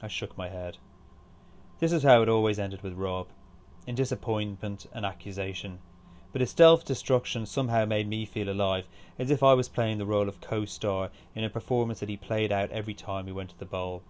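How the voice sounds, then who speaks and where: A man's voice: fairly low pitch, a little fast, darkish tone. A man reading his own literary short story as scripted narration.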